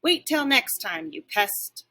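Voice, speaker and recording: A woman reading a children's storybook, voicing an excitable, boastful and playful pet dog with exclamatory, dramatic delivery. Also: mid-range voice, takes it slowly, heavily acted. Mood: fierce, defiant. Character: excitable, cocky, playful pet dog narrating his adventures. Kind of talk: children's storybook read-aloud.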